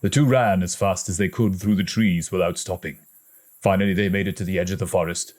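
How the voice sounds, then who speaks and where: A man reading a story aloud as an audiobook narrator, in a dramatic, expressive storytelling manner. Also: low voice, a little fast. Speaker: audiobook reader.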